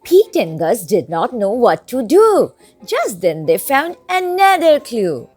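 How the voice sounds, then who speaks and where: A woman reading a children's picture book aloud in an expressive, sing-song storytelling way over background music. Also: a little high, slow pace, husky. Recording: music bed under the voice.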